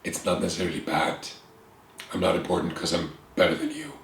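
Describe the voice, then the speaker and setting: A man with an Icelandic accent talks slowly and thoughtfully in short phrases. His voice is low and fairly flat. The room around him sounds echoey, with a faint hiss underneath. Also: creaky. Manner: earnest, reflective, pausing in short phrases. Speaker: guest. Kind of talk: candid conversation. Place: room with bookshelves and a window, at a table.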